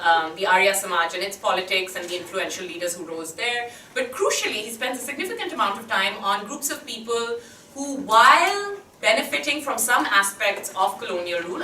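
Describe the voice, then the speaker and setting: A woman's voice: mid-range voice, sharp tone. A woman giving an unscripted academic talk at a seminar, thinking aloud with many hesitations and fillers, speaking without a microphone. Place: lecture hall, speaking without a mic. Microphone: no mic, picked up from a distance. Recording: echoey hall, distant mic, faint hiss.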